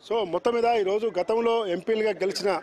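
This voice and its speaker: A man's voice: high-pitched, fairly even intonation, quick pace, darkish tone. A man working as a TV field reporter, putting questions. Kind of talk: live field interview questioning.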